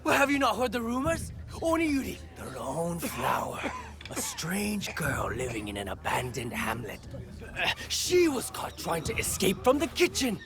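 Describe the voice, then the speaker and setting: An actor playing an indignant, gossipy villager in a video game, melodramatic and accusing. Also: male voice, sits high, wildly swooping pitch, measured pace, vocal fry, full character voice. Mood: gossipy, suspicious. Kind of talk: video game cutscene acting. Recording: faint music behind the voice.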